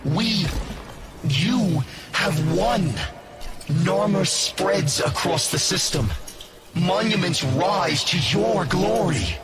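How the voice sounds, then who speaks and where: An actor playing a solemn, devoted servant of a villainous ruler in a video game, speaking in formal, reverent phrases. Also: male voice, high voice, animated, drawn-out words, creaky, exaggerated voice. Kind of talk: dramatic video game acting. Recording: loud music under the voice.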